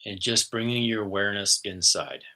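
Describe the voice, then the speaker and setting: Low, bright-voiced spiritual host. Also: male voice. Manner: easygoing and chatty, soft and slow when guiding. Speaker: spiritual host and intuitive channeler. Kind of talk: casual livestream talk and guided relaxation.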